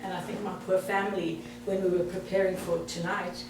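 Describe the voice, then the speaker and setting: A woman's voice: medium pitch, flat delivery, fairly quick, noisy background. A woman in her sixties with a South African accent, giving a chatty talk to an audience. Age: 60s. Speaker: guest speaker. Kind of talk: presentation to an audience. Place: meeting hall. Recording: echoey hall, mic far off.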